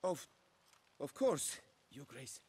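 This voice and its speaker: Pompous steward, very animated pitch. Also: male voice, slow pace, breathy edge, heavily acted. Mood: flustered, deferential. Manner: formal, pompous, deferential. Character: fussy, proud male winery steward, obsequious to royalty. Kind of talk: video game voice acting.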